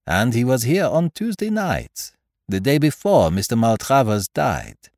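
A man voicing a genteel, shrewd foreign detective in an audiobook, speaking formally and deliberately with the odd French phrase. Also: medium pitch, sing-song, a little mellow, dry recording, clean studio sound, pitched above the performer's own voice. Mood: confident, probing. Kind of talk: audiobook character voice.

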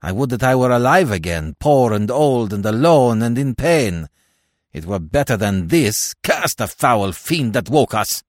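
A man acting a harsh, bitter dead man, loud. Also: mid-range voice, big pitch swings, a little slow, dry recording, clean studio sound, pitched above the performer's own voice. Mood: bitter, anguished. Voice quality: harsh, forceful. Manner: archaic, declamatory speech, railing. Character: harsh, embittered dead man cursing from his grave. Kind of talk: dramatic storybook reading.

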